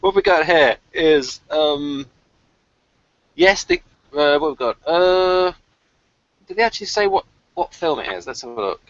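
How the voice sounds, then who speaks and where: A man's voice: fairly high pitch, wildly swooping pitch, measured pace, long pauses, a bit bright, no echo, dull audio. A man hosting a casual fan review, chatty and rambling as he thinks aloud. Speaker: host of a TV review discussion.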